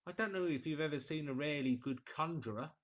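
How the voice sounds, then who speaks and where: A mild village vicar from a storybook as a male narrator plays him. He muses skeptically and fussily in a genteel, slightly high-pitched male voice. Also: brisk, fairly bright tone, lightly acted. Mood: musing, skeptical. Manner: genteel, musing, fussy.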